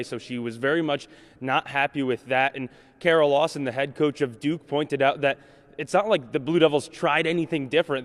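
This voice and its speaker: A man working as a sports reporter and play-by-play announcer, delivering scripted reports and game commentary. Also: on the high side.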